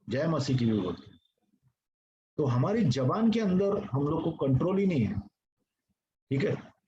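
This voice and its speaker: Male pastor, earnest sermon, slightly high voice, dull lo-fi recording. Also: dark tone. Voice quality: mixes English phrases into speech. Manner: earnest, repetitive, addresses congregation directly. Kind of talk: sermon teaching. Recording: dull lo-fi recording with faint noise.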